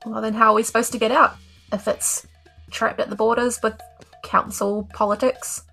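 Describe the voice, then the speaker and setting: A woman's voice: a little high, flat delivery, moderate pace, creaky, sharp tone, faint music behind, low-quality recording. A woman with a New Zealand accent speaking in character as a player in a tabletop role-playing game.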